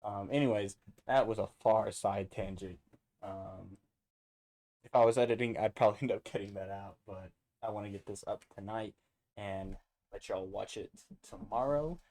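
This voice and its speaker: A man's voice: on the low side, normal speed, darkish tone. A man hosting a casual reaction video, rambling and interrupting himself as he goes off on tangents.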